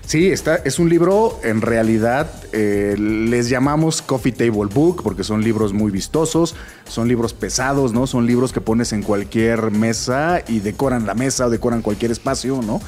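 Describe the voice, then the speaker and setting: A man's voice: medium pitch, moderate pace, darkish tone, faint music behind. A man with a Mexican Spanish accent hosting a casual interview. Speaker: interview host.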